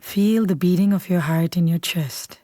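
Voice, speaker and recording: A woman leading a guided meditation in a calm, unhurried, soothing way, softly and at times almost whispering. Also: on the low side, no echo, studio quality. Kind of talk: guided meditation, slow scripted instruction.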